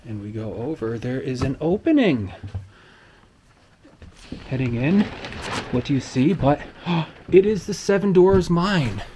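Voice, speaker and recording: A man's voice: mid-range voice, lively intonation, a little slow, pauses often, mellow, a little hiss or hum. A man giving a playful walk-through of a decorated outdoor trail at night, narrating in a theatrical storybook manner while holding the camera.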